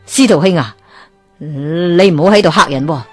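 A woman's voice: sits low, moderate pace, breathy edge, soft background music, pitched below the performer's own voice. A woman voicing an anxious middle-aged woman pleading with a friend in a radio storybook, with a Hong Kong Cantonese accent. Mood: worried.

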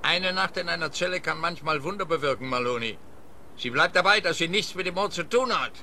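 An actor playing a smug, obtuse police officer in a radio play, talking in a pompous, officious way. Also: male voice, a little high, brisk, bright, forceful tone, a little hiss or hum.

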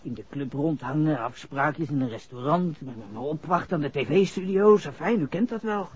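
Casting: nervous young suspect in a 1960s radio serial, markedly raspy, stammering and slangy, dulled old recording. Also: male voice, fairly high pitch, moderate pace. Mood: defensive, uneasy. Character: nervous, shifty young man under police suspicion. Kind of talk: 1960s Dutch radio drama acting. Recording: muffled vintage radio recording.

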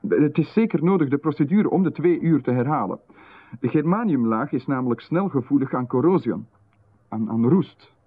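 A man with a Flemish accent playing a smooth-talking, insistent visitor in a radio play, with a husky voice and a polite manner, explaining at length in technical jargon. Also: on the high side, animated, moderate pace. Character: smooth-talking male visitor, middle-aged, insistent and eerie. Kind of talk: 1990s radio drama. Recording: phone-like radio recording.

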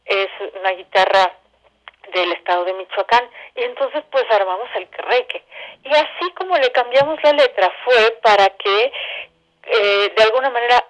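A Mexican woman explaining things as an interview guest in an expressive, colloquial, storytelling way over a telephone line. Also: on the high side, normal speed. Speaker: interview guest, theatre director. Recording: dull telephone-line sound.

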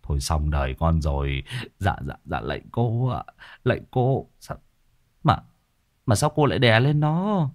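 A man's voice: a little high, sing-song, a little slow, pauses often, voice pushed up. A man voicing a hot-tempered village husband in an audio story, blustering, coarse and stammering. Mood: stunned, apologetic.

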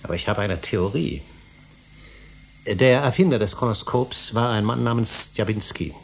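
An actor in a German radio drama playing an older academic, emphatic and lecturing, obsessed with his forbidden research, with a husky voice. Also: fairly low pitch, lively intonation, moderate pace, full character voice. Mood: lecturing, earnest.

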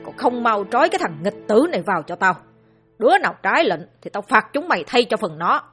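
A woman voicing a cruel, furious old village chief who shouts threats at his son, with a breathy voice and faint music behind. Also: mid-range voice, sing-song, brisk, lightly acted. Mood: raging.

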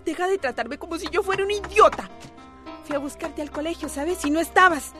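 A woman's voice: extremely high pitch, brisk, bright, forceful tone, soft background music. An actress in a radio soap opera playing a worried, fed-up mother of a teenage son. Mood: angry, fed up.

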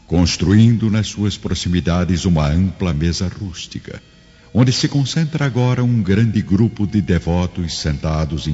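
A man with a very low voice narrates a radio drama with solemn, florid feeling. His intonation swells and falls dramatically. Long reverb and clear music surround the voice, on a slightly dull recording. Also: warm tone.

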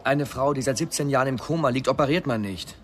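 A man's voice: mid-range voice, fast, slight background noise, lightly acted. An actor playing a blunt, indignant man, speaking sharply and emphatically in a radio drama.

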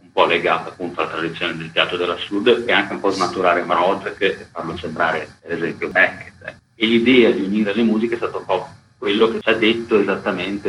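A man's voice: low-pitched, a bit bright. A man explaining things reflectively in an interview, in loosely built sentences. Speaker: theatre dramaturge being interviewed. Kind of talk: interview talk. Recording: roomy echo, faint background noise.

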